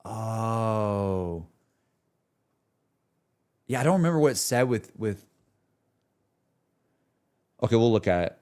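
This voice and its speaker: A man's voice: fairly low pitch, expressive, slow, pauses often, a little mellow, clear, resonant tone. A man streaming casual, slangy, exclamatory reactions to a game as he talks to his viewers.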